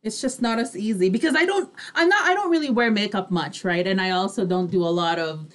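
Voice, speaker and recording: A woman's voice: mid-range voice, expressive, a little fast. A woman hosting an online radio show in casual chat, with a chatty, giggly manner.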